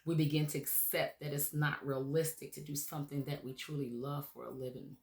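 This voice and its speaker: A woman hosting a motivational radio show, her voice raspy from recent laryngitis, talking earnestly and encouragingly with many "um"s and "okay?"s. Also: low voice, level tone, brisk, darkish tone, reverberant. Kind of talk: motivational talk show monologue.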